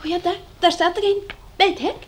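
An actress playing an eager, excitable young girl in a Dutch radio play, chirpy and pleading. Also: very high voice, fast, slight background noise.

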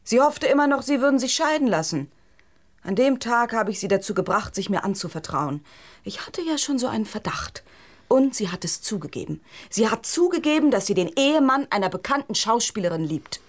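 An actress in a German radio drama playing a hostile, protective sister who speaks with bitter sarcasm. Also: female voice, fairly high pitch, sing-song, brisk. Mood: accusing. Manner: cutting, scornful.

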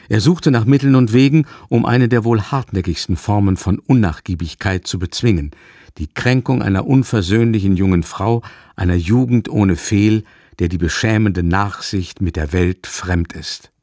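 A man narrating a literary radio drama in a measured, articulate storytelling style. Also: fairly low pitch, a little mellow, dry recording, studio quality.

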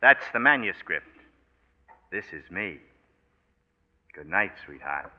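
A man's voice: low voice, big pitch swings, slow, faint music behind, full character voice. A male actor with an American accent playing a tough, cynical, wisecracking private detective, sardonic and clipped, in a 1940s radio noir. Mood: sardonic, needling. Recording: tinny, reverberant 1940s radio recording.

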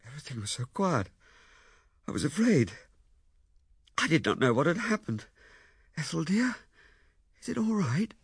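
A man's voice: on the low side, lively intonation, moderate pace, vocal fry, a bit bright, slightly rough, no room sound, clean studio sound, heavily acted. A man playing an earnest, remorseful young husband in an audiobook, speaking with tender emotion. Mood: anxious, emotional. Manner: impassioned, tender. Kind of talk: audiobook character acting.

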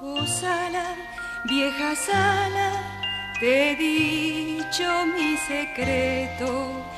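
A young storybook princess, gentle and full of sorrow. Her voice is high and unhurried, with loud music playing around it. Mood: lamenting, singing. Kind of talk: children's audio storybook acting with song.

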